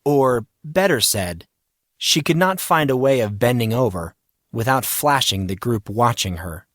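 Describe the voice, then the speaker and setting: A man narrating scripted fiction in a measured, expressive storytelling manner. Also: medium pitch, no echo, clean studio sound. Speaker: audiobook narrator.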